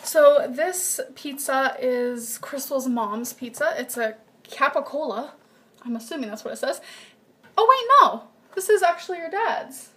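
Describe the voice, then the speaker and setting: A young woman showing her groceries in a casual home vlog, chatty and reading off nutrition numbers in a flat list, recorded on a webcam in her kitchen. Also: high-pitched, a little slow. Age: young adult. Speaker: vlogger. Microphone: built-in webcam mic at desk distance.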